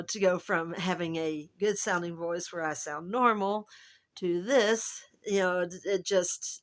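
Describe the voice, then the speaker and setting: A woman with a hoarse, gravelly voice that is still recovering, chatting casually and rambling to camera at home as a travel vlogger. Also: lowish voice. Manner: rambling, chatty, self-interrupting. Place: at home, indoors.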